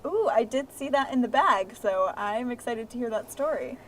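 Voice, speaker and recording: A woman voicing an excitable, friendly sock puppet in children's puppet show banter. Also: a little high, normal speed. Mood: eager, friendly. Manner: exclaiming, eagerly encouraging straight woman to jokes. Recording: clearly noisy amateur recording.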